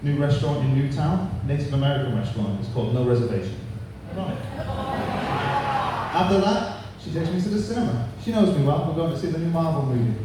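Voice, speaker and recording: A man's voice: a little high, animated, quick pace. A man in his thirties with a Manchester accent, doing a crude, punchy stand-up set on a pub stage. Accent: Manchester English. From England. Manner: punchy crude joke delivery. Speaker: stand-up comedian. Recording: echoey room from far off, noisy.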